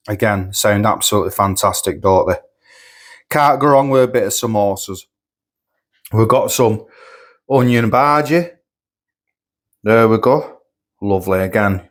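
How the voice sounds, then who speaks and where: A man reviewing supermarket food at home, chatty and rambling, sniffly with a cold coming on. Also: fairly low pitch. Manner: chatty, rambling, repeats himself. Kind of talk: casual food review vlog. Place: home kitchen.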